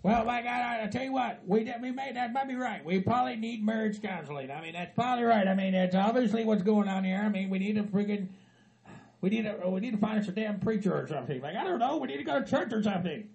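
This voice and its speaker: A shrill gravelly hillbilly geezer. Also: male voice, expressive, fast talker, low-quality recording. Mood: rambling upset. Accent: Southern US hillbilly. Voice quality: high-pitched and gravelly. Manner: rambling, stammering, laughs through his words, bursts into yelling. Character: frantic aging hillbilly husband, loud and cussing. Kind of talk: comedy sketch.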